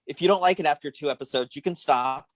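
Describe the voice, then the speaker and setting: A woman comic chats casually in a wry, self-deprecating manner. The sound is dry, with no room around it, and her pitch is middling for the group. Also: fast, no room sound. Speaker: comedian guest. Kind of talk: casual chat.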